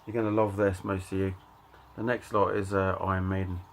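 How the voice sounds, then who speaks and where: A middle-aged man with a lowish, creaky voice presents his collection casually. He speaks in short, halting bursts, offhand and unscripted, reading out titles as he goes. There is some noise in the recording. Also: level tone. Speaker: record collector and channel host. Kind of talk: casual show-and-tell commentary.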